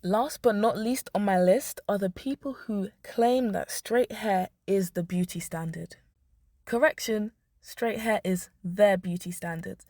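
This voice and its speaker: A young woman vlogger, hoarse, giving blunt, emphatic commentary to the camera. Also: mid-range voice, a little slow, a little mellow, no echo, clean studio sound. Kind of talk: opinionated talking-head commentary.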